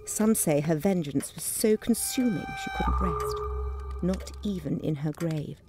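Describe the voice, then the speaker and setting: A woman's voice: low-pitched, unhurried, over music. A woman playing a wry local woman who tells a witch legend in an ominous storytelling way in a radio drama.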